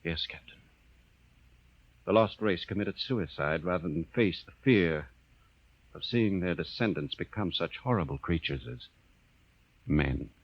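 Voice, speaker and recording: An actor playing a grave, brooding space explorer in a 1950 radio drama, speaking dramatically and deliberately. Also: male voice, deep voice, lively intonation, a little hiss or hum. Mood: solemn. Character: grave, brooding male space explorer explaining a dead alien race. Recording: dull, band-limited 1950s radio recording.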